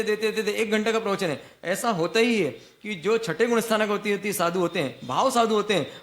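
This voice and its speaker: Brief: high-pitched male monk preaching, asks the audience questions and answers them himself. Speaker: Jain monk giving a discourse. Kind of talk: sermon.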